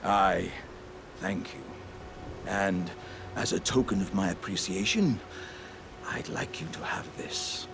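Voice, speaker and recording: A man's voice: deep voice, slow, vocal fry, pauses often, background noise, full character voice. A man playing a jovial, polite, boastful living warrior jar in a video game, with hearty, courtly, old-fashioned phrasing. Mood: grateful. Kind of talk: video game character dialogue.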